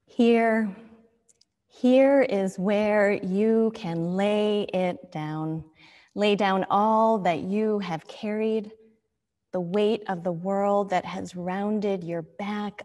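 A woman in her thirties, a minister giving a scripted worship invocation in a solemn, measured, deliberate way. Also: mid-range voice, darkish tone. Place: church sanctuary. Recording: long reverberant hall echo.

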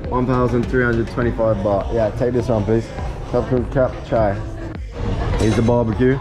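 A man's voice: mid-range voice, steady tone, moderate pace, music in the background. A young English man vlogging in a busy restaurant, chatting casually to a handheld camera and rating the food as he tries it. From England. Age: young adult. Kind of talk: casual vlog narration.

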